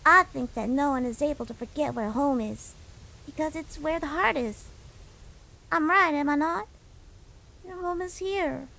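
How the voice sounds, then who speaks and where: A woman's voice: high voice, measured pace, vocal fry, pauses often, sharp tone, heavily acted, rough recording. A woman voicing an earnest, tender young monster boy in a comic dub, with an eager, childlike manner. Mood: wistful, thoughtful.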